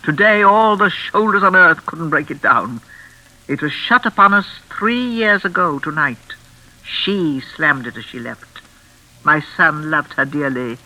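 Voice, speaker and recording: Casting: unhinged older lady of the manor, honeyed menace, swooping delivery, sharp bright tone, crackly old radio drama. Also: low-pitched, expressive, heavily acted. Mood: bitter, unhinged. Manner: theatrical, honeyed and insistent, with deliberate repetition. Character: menacing, unhinged older mistress of a crumbling hall. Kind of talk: classic radio suspense acting.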